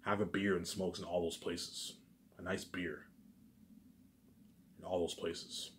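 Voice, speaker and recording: A man's voice: low voice, level tone, takes it slowly, slight background noise. A man giving a rambling, informal monologue, repeating himself emphatically, swearing and restarting his phrases. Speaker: vlogger.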